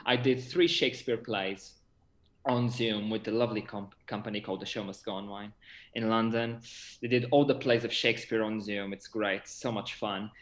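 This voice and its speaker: A man's voice: fairly low pitch, fairly even intonation, moderate pace. A man in his twenties with a Portuguese accent, chatting enthusiastically about his work in a casual interview over a video call. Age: 20s. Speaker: theatre director and actor. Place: indoor room, on a video call. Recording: roomy, echoing video call audio.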